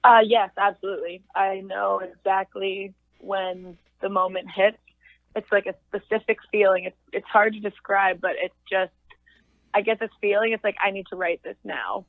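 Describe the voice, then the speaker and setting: A young woman with a naturally low voice talks through an interview, pausing and restarting as she thinks aloud. She comes through a tinny, phone-like line that sounds very dry and close. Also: level tone, gravelly, dry recording. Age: young adult. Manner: thoughtful, halting, many fillers and restarts. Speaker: singer-songwriter guest. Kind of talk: casual interview chat.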